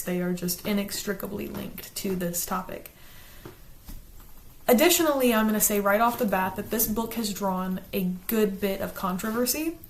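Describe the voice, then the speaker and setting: A woman with a South Carolina Southern accent talks straight to her viewers in a casual, earnest way, mixing loose chat with lines read from a script. Her voice sits at an ordinary middle pitch. She holds back her natural quick pace to say each word clearly. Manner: quick-talking, chatty and earnest, slows down to enunciate. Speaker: channel host, book reviewer. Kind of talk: casual talk to camera, partly read from a script.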